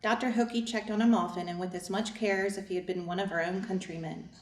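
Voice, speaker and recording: A woman's voice: mid-range voice, fairly even intonation, fast talker, natural voice. A woman playing a stubborn Japanese servant who also narrates a staged play reading. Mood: narrating. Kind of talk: staged readers' theater acting and narration. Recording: echoey room, distant microphone.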